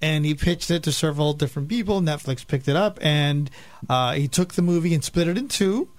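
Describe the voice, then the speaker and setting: Wry, higher-pitched man chatting. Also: fairly quick. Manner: wry, teasing, bluntly critical. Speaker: podcast panelist. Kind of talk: casual chat.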